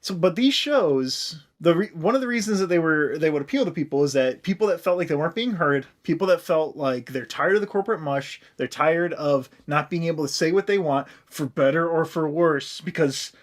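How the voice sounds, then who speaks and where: A man's voice: on the high side, lively intonation, a little fast, few pauses. A man hosting a podcast in casual comedic chat, swearing freely with an irreverent, joking manner. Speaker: podcast host.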